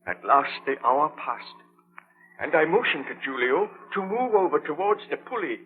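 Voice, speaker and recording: An actor playing an obsessed, excitable baron and scientist in a melodramatic, declamatory 1930s radio drama style. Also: male voice, on the high side, moderate pace. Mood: tense, dramatic. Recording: tinny, noisy 1930s radio recording.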